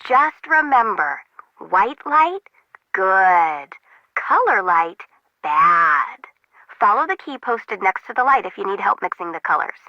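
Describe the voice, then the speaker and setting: Quirky, anxious young female radio operator for a game: animated, slangy, slightly muffled radio sound. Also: mid-range voice, takes it slowly, no room sound. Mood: wry, teasing. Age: young adult. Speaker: harbor control tower operator. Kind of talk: video game voice acting.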